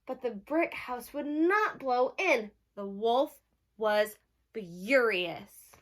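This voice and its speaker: A woman storyteller reading a storybook aloud to children, expressive, with asides and questions to her young listeners. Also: a little high, extremely slow.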